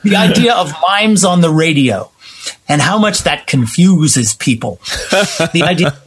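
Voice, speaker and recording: A man's voice: fairly high pitch, animated, measured pace, slight background noise. A man being interviewed as a theater writer and director, talking conversationally and expressively, acting out imagined quotes as he tells stories.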